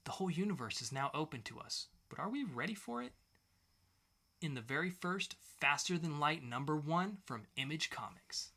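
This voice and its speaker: A man's voice: on the high side, expressive, normal speed, bright, forceful tone. A man co-hosting a podcast, chatting casually and enthusiastically about what he reviews, repeating phrases for emphasis. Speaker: podcast co-host. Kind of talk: casual comic book review chat.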